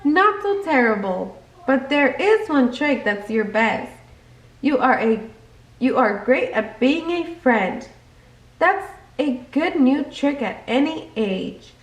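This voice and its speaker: A woman's voice: high voice, sing-song, slow pace, reverberant, heavily acted. A woman voicing a jokey, bold and kind female cow in a storybook, with playful, emphatic delivery.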